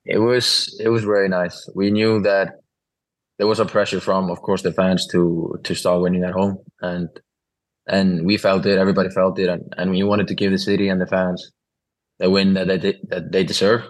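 A young Icelandic footballer with an Icelandic accent, answering interview questions in halting, plain-spoken English with many restarts. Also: male voice, deep voice, level tone. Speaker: professional footballer guest.

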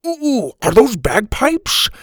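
Eager old grandpa voiced by a guy, exclaims a lot, storybook adventure, pitch bouncing around. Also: sing-song, unhurried, gravelly, pushed and bright, dry recording, studio quality, full character voice. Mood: excited. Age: older.

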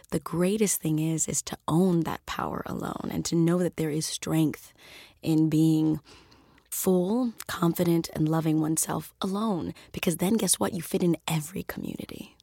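A woman guest speaking in a measured, reflective way at a roundtable discussion. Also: low voice, dark tone, no room sound, clean studio sound. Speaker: guest actress.

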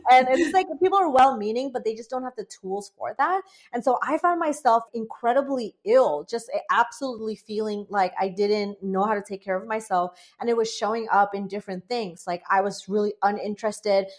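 A woman's voice: mid-range voice, fairly quick, few pauses. A woman from Bangladesh in her late twenties or thirties, a breathwork facilitator talking earnestly and chattily as an interview guest. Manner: earnest, chatty, many "like" fillers, animated quoted asides. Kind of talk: conversational interview.

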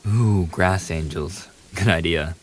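Man acting a low-voiced, unhurried pop idol boyfriend. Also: slightly rough, exaggerated voice. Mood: relaxed, affectionate. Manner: casual, teasing, addresses the listener intimately. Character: famous young pop idol boyfriend, playful, affectionate, eager. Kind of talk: boyfriend ASMR audio roleplay. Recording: steady hiss behind the voice.

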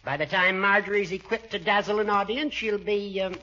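A crabby, sarcastic older American judge in old radio comedy style. His voice is high and piercing, with a haughty, needling delivery. The recording is dull. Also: fairly quick, pushed and bright. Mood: disdainful. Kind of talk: 1940s radio comedy acting.

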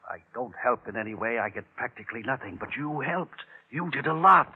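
An actor playing an oily, condescending crooked fixer in a 1950s radio crime drama, smooth-talking and patronizing. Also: male voice, medium pitch, expressive, brisk. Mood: wheedling. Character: oily, condescending male crooked fixer, wheedling under pressure. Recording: tinny vintage radio recording.

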